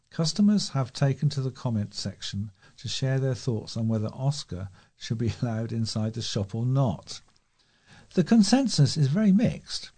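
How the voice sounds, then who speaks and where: Male British amateur newsreader, warm relaxed tone, scripted local stories with the odd stumble. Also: mid-range voice. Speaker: volunteer newsreader. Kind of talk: scripted local news reading.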